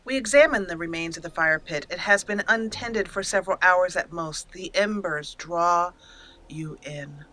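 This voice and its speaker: A woman hosting a let's play, narrating it as an expressive storyteller and speaking for her character. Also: lowish voice, normal speed, rough recording. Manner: expressive storyteller, acting out lines. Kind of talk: roleplay storytelling over gameplay.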